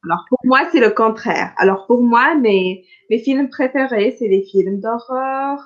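Slow patient woman, lo-fi. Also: on the high side. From Tunisia. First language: Arabic. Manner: patient, clear, repeats questions. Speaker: French conversation partner. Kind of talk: slow language-practice conversation.

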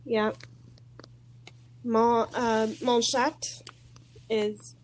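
A woman's voice: a little high, flat delivery, extremely slow. A woman with an American accent, a native English speaker and beginner in French, hesitantly and shyly searching for each word. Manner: hesitant, nervous, shy, searching for words. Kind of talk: halting conversation practice.

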